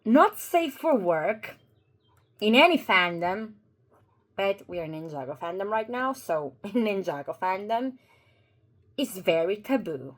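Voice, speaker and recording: A Croatian woman whose first language is Croatian, talking slowly and deliberately through a commentary vlog. She pauses often and restarts her sentences, earnest and unhurried, seated at her desk. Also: medium pitch, big pitch swings. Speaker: YouTuber. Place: at a desk with two monitors.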